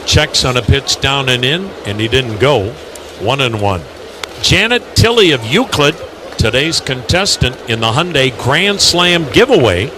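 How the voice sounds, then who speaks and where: A man's voice: medium pitch, animated, unhurried, background noise. A man announcing live baseball play-by-play on the radio.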